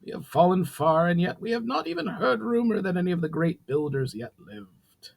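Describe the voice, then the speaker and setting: A man's voice: high voice, very animated, moderate pace, vocal fry, voice pushed up, heavily acted. Man voicing a stern nasal goblin ruler. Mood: grudging respect.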